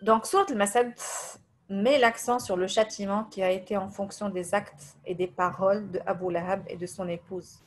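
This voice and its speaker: A woman from Tunisia whose first language is Arabic, giving a religious lesson in French, hesitating often with fillers, reading translations and reciting verses quickly. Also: medium pitch, fairly even intonation. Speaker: religious teacher. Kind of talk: religious lesson over voice messages.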